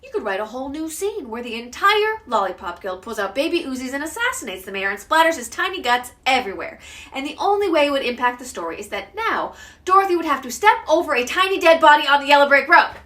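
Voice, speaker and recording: A woman delivering a theatrical dramatic monologue in an emotive, emphatic way, swinging between soft and loud. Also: high voice, very animated, fast talker. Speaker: actor performing monologues. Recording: distant mic with clear background noise.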